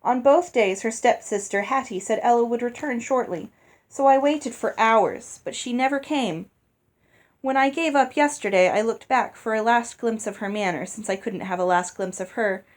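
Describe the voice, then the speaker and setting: A woman's voice: a little high, moderate pace, lightly acted. A woman reading the storybook journal of an earnest, puzzled young prince. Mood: wistful. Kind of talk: storybook journal read aloud.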